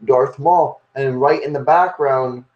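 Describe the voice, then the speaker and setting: A man's voice: medium pitch, slow pace, dull audio. A young man hosting a podcast, rambling and restarting as he argues a point in casual chat. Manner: rambling, full of fillers and restarts while arguing a point. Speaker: podcast host. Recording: roomy echo.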